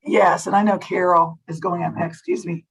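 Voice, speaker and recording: A woman giving a food safety presentation at a webinar, slightly hoarse and explaining step by step with small restarts. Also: lowish voice, steady tone, normal speed, rough recording. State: slightly hoarse, losing her voice.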